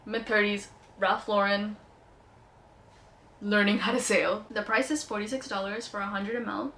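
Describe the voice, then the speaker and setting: A woman's voice: mid-range voice, a bit bright, a little hiss or hum. A young woman with a light Indian accent, chatting playfully and enthusiastically as she reviews perfumes. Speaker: beauty vlogger reviewing perfumes. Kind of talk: casual product review vlog.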